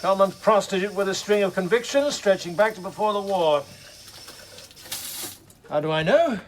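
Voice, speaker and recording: Guy acting snooty surgeon in a radio play, really animated, higher voice, bit of noise behind him. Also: moderate pace, natural voice. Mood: scornful. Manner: clipped, pompous, condescending. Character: arrogant, scornful senior surgeon, a grown man. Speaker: actor in a radio drama. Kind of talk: BBC radio drama acting.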